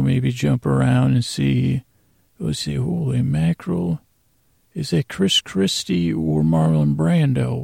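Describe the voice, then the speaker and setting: A man hosting a podcast with a rambling bedtime monologue, halting and meandering, with stammered false starts and trailing tangents. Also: medium pitch, mellow, no room sound, clean studio sound. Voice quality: gravelly, creaky. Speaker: podcast host.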